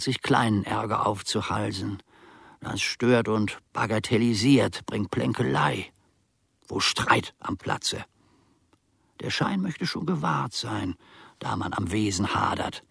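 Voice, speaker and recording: Old servant, low husky voice, gravelly, dry close sound, resentful muttering, pedantic radio-play monologue. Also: male voice, measured pace, a bit bright, no echo, full character voice. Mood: muttering, irritated. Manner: muttering to himself, pedantic mock-learned phrasing. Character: grumbling, resentful old manservant of a philosopher. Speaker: radio drama actor.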